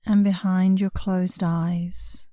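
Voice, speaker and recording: A woman meditation instructor guiding a relaxation session in a soothing, unhurried way, leaving long pauses between short phrases. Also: on the low side, level tone, mellow, dry recording, clean studio sound. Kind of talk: guided meditation, scripted narration.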